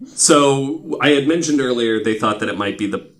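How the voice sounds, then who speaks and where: A man's voice: medium pitch, expressive, a little slow. A man hosting a podcast, telling stories casually to co-hosts in an informal, wryly skeptical way with some swearing. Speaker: podcast host.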